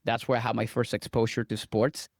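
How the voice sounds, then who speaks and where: A man's voice: medium pitch, level tone, fairly quick, creaky, no echo. An earnest man answers interview questions like a storyteller. He sometimes repeats a word as he speaks. His voice is mid-range, and the sound is dry and close to the mic. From Venezuela. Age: 30s. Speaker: guest dietitian.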